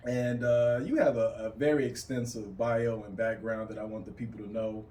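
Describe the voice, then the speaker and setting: A man's voice: on the low side, fairly even intonation, normal speed, mellow, recorded from a distance. A male podcast host in a casual interview style, heard in a roomy space. He sits back from the mic, and there is faint noise behind him.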